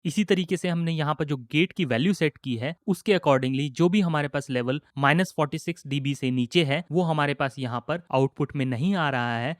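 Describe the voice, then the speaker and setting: A man with an Indian accent narrating an instructional software tutorial in a methodical, explanatory way. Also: medium pitch, dry recording, studio quality. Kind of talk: instructional screen-recording narration.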